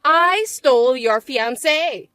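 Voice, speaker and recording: A woman voicing a spoiled young stepsister who taunts and bosses in a shrill, mocking way. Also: expressive, takes it slowly, bright, forceful tone, no room sound, exaggerated voice. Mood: taunting.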